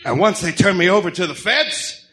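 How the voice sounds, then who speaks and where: A man's voice: high-pitched, expressive, moderate pace, heavy background noise. A man voicing a cocky male crook with a chatty, self-satisfied delivery in a comic-book radio drama. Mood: smug relief. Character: cocky male crook explaining his surrender.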